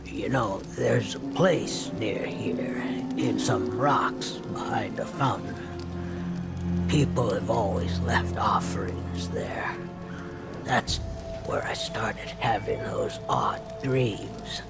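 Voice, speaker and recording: An actor playing a cautious, wistful outsider in a video game, confiding in hushed, partly whispered tones. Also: male voice, lowish voice, slow, vocal fry, loud music behind the voice.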